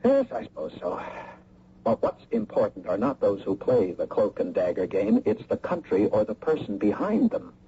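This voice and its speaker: An actor playing a guarded, wry middle-aged government lawyer and secret agent in a radio mystery drama. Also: male voice, lowish voice, huge pitch swings, moderate pace, dark tone, slightly rough, full character voice. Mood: guarded, dismissive. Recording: muffled, noisy old radio broadcast.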